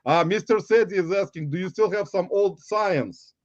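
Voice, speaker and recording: A man's voice: sits high, no room sound, muffled recording. A man in his forties from Uzbekistan with a Russian accent, hosting a casual livestream chat and rambling to his viewers. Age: 40s. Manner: rambling, halting, addresses viewers as guys. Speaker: livestream host.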